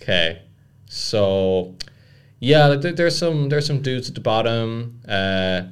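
A man's voice: mid-range voice, lively intonation, slow, smooth. A young man co-hosting a podcast, talking casually with lots of hesitations and dry humor. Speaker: podcast co-host. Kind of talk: casual chat.

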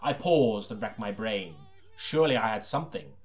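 A man reading scripted first-person detective narration as an audiobook, deadpan and self-serious like a noir parody. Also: lowish voice, moderate pace.

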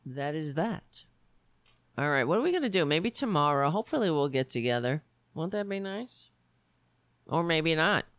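A woman hosting a political livestream with a worn, raspy voice, talking bluntly and sarcastically while reading the chat. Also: low-pitched, very animated, moderate pace, pauses often, dry recording. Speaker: livestream show host.

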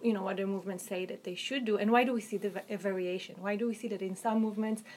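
A woman with an Israeli accent, an author answering interview questions about her research in an articulate, thoughtful way, often pausing with "um" and restarting phrases. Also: medium pitch, steady tone, darkish tone, a little hiss or hum. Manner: articulate, hedging with um and you know, frequent restarts.

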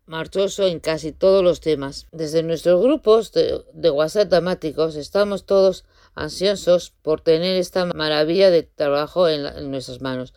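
A woman's voice: lowish voice, darkish tone, smooth, no echo, studio quality. A woman delivering a prepared fan message. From Basque Country, Spain.

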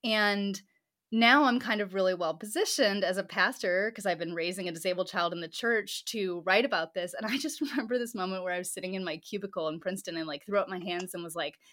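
A woman's voice: medium pitch, quick pace, fairly bright tone. A woman who is a pastor and scholar, answering interview questions thoughtfully and often laughing lightly between phrases.

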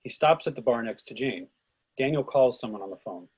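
Husky male screenplay narrator. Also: medium pitch, lively intonation, a little fast. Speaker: stage direction narrator.

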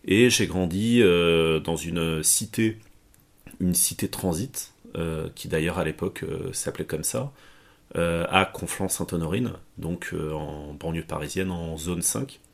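Gravelly low-voiced man, hesitant. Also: measured pace. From Portugal. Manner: hesitant, many fillers and restarts. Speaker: guest. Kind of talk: conversational interview.

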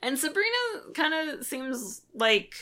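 A woman co-hosting a podcast, giving opinions in casual, halting conversational phrases. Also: high voice, very animated, pushed and bright. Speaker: podcast co-host.

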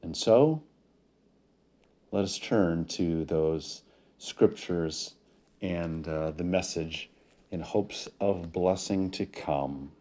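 A man speaking as a pastor in prayer and sermon introduction, with solemn, deliberate phrasing. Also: deep voice, mellow. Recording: dull, muffled lo-fi recording.

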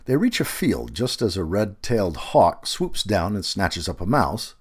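A man reading a written essay aloud as scripted narration, in an emphatic, expressive manner. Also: deep voice, measured pace, husky. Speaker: audio narrator reading a feature article.

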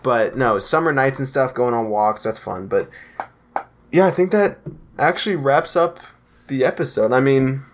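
A young man hosts a podcast in easygoing conversation. He rambles a little, circling back and restarting his thoughts as he goes. His voice sits at an ordinary middle pitch. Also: normal speed, slight background noise. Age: young adult. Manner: chatty, rambling, loose fillers and restarts. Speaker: podcast host. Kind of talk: casual podcast chat.

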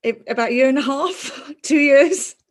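A woman's voice: high voice, normal speed. A woman hosting a podcast, talking casually with a close friend and often restarting her sentences. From moved to Ireland in 2000. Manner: chatty, warm with a friend, many fillers and restarts. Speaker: podcast host. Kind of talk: casual interview chat.

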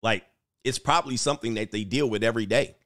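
A man's voice: mid-range voice, fast, fairly bright tone, no room sound. Give me a man rambling through a joking reaction commentary, recorded dry. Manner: rambling, joking, trails off mid-thought. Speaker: YouTube commentator.

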